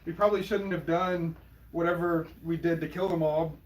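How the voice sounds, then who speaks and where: A man's voice: fairly high pitch, steady tone, moderate pace, close to an everyday voice, low-quality recording. A man playing a flippant, laid-back partner in a naturalistic audio drama, speaking in a casual, wry, dismissive way. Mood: defensive, casual. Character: laid-back, flippant partner, nervous about bee stings. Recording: faint background noise.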